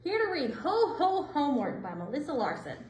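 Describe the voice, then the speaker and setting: A woman with a high voice narrates a picture book for children. Her delivery swings up and down expressively, with deliberate phrasing. The room adds a clear echo. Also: very animated, darkish tone, slight background noise. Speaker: librarian reading stories aloud for children. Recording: roomy indoor echo.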